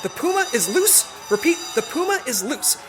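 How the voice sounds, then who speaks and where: A woman's voice: sits high, very animated, normal speed, music in the background, crowd noise. A woman playing an earnest, flustered spy team leader with a dramatic delivery in a comedic audio drama. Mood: commanding.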